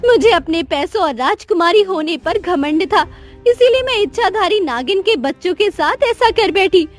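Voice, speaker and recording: Very high-voiced remorseful queen played by a woman. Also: animated, moderate pace, faint music behind. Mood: tearful remorse. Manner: earnest, pleading, dramatic. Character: remorseful young queen, anxious mother of a cursed daughter. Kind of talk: Hindi cartoon story dialogue.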